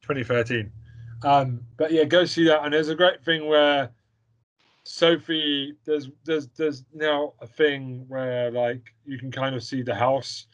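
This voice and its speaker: A man's voice: a little high, fairly even intonation, a little slow, dull audio. A young man co-hosting a podcast, chatting about a film in an opinionated, rambling way with lots of fillers and repeated words. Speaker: podcast co-host. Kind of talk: casual chat reviewing a film.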